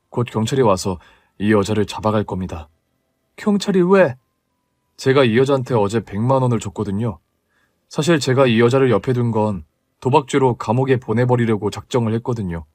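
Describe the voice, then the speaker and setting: A tearful, earnest grown son, voiced by a man in an audio story. He talks softly and a little lower, apologizing to his mother. The sound is close and dry. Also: no room sound, lightly acted. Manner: soft, low and apologetic.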